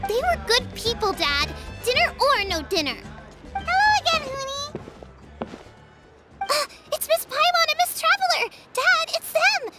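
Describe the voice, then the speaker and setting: A woman's voice: extremely high pitch, sing-song, measured pace, exaggerated voice. A woman voicing an earnest, plucky little girl in a video game, frank and insistent with her father. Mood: defiant. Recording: loud music behind the voice. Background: game background music.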